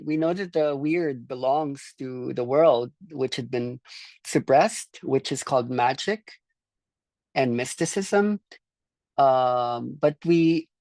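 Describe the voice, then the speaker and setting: A course leader from the Philippines speaking reflectively to a group in a halting, thoughtful way, often repeating words. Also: medium pitch, darkish tone, no room sound. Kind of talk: reflective group discussion talk.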